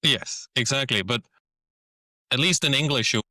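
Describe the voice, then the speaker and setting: A man's voice: mid-range voice, normal speed, bright, forceful tone, no echo, clean studio sound. A man with a Danish accent, a podcast host whose first language is Danish, talking through ideas thoughtfully in a casual conversation. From Denmark. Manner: thoughtful, restarts phrases while searching for words.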